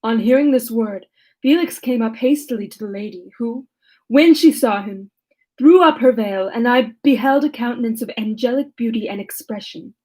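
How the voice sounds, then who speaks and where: A woman reading aloud as a lonely male creature who tells his own story in a measured, formal way. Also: a little high, warm tone, close to an everyday voice, rough recording. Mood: earnest. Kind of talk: reading a classic novel aloud.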